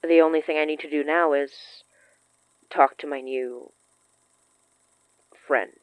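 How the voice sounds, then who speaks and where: A woman acting in an audio drama as a wary, thoughtful occult expert who explains things in an earnest, measured way. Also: low-pitched, fairly even intonation, clean tone, dry recording, rough recording. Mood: earnest, cautious.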